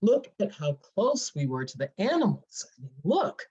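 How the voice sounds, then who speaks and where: Lively, lowish-voiced actress as a playful, teasing female best friend; table-read drama, lo-fi sound. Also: normal speed, breathy edge. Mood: excited. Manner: animated, teasing. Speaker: actor in a screenplay table read. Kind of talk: live dramatic script reading. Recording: dull lo-fi audio.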